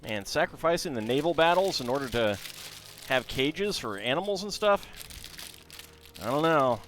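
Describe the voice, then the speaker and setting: A man's voice: a little high, animated, normal speed, fairly bright tone, slight background noise. A man casually chatting to his viewers while building a Lego set, reading facts aloud and talking to chat.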